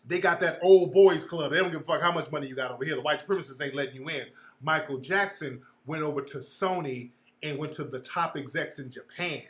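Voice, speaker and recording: A man's voice: a little high, brisk, a bit bright, echoey room. A man telling stories in casual commentary, emphatic and full of profanity.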